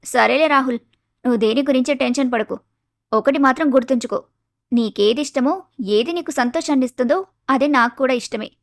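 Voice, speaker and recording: A woman voicing a caring, wise elder sister-in-law who gently teases and reassures in an animated moral story. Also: high-pitched, brisk, long pauses, no echo, clean studio sound. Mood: supportive. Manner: reassuring, motherly.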